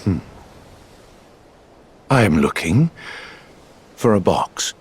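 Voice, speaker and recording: Slow, swooping suave gambler villain. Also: male voice, low-pitched, big pitch swings, breathy edge, full character voice. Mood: suave, playful. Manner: genteel, unhurried, smugly theatrical. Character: suave, calculating male gambler villain in a dark organization. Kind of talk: video game cutscene acting.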